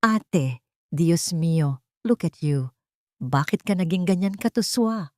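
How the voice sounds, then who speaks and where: A woman's voice: on the low side, lively intonation, takes it slowly, dark tone, dry recording, studio quality. A woman narrator voicing a mocking rich younger sister in an audio story, condescending and falsely concerned, mixing in English. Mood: fake concern.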